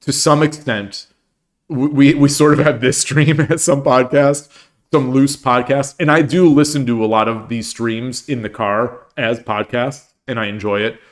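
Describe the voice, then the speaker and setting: A man's voice: medium pitch, steady tone, measured pace. Rambling man chatting on a livestream. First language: English. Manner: rambling, many restarts and fillers. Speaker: streamer and host. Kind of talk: casual livestream chat, reading chat comments.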